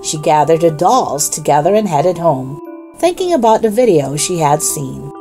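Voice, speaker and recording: A woman narrating a children's storybook as a read-aloud host, with expressive, deliberate delivery for young listeners. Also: sits low, faint music behind.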